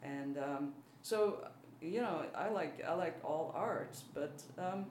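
A woman's voice: sits low, takes it slowly, a little mellow. A mature woman with a Belgian accent, a native speaker of French, German and Dutch, talks casually as an interviewed artist in a hesitant, modest way. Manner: self-deprecating, hesitant. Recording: roomy, faint background noise.

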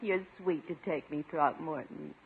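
A woman playing a coy, genteel sweetheart in a 1950s radio sitcom, politely wheedling in a husky voice. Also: deep voice, normal speed, noisy background. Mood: coy, sweet. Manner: coy, polite, gently manipulative. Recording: dull, muffled 1950s radio recording.

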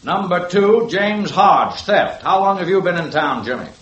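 A brisk, commanding radio police sergeant, higher-pitched voice, echoey old broadcast. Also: male voice, expressive, a bit bright, dull audio. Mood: firm, official. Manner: firm, orderly, barks instructions. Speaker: police sergeant running the lineup. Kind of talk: 1950s radio drama acting.

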